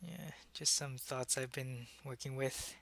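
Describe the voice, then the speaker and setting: A man's voice: mid-range voice, steady tone, unhurried, creaky, fairly bright tone. A man playing an earnest, secretive alien agent in an ASMR roleplay, talking to a human in a hesitant, explanatory way. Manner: hesitant, explanatory, intimate one-sided conversation. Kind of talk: ASMR roleplay, acted monologue to the listener.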